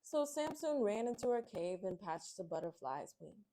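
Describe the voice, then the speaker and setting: A woman's voice: medium pitch, big pitch swings, moderate pace, mellow, slight background noise, poor audio. A woman hosting a children's read-aloud, addressing listeners as friends and reading picture books expressively.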